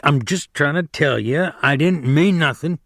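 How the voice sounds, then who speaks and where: A man's voice: a little high, measured pace, fairly bright tone, no room sound, clean studio sound. A man doing a hot-tempered little brawler. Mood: belligerent. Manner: aggressive, belligerent. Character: small hot-tempered young man, combative.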